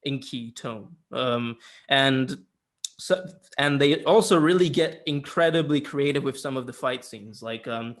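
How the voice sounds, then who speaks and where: A man's voice: medium pitch, unhurried. A man giving a casual, opinionated film review in informal, sweary conversation. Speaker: film reviewer on a two-person show.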